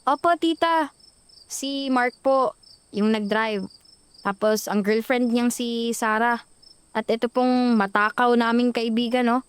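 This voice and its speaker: A woman's voice: a little high, slow pace, long pauses, smooth, no echo. A woman voicing a cheerful young woman who sweetly reassures her friends on the way to her home village. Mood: polite.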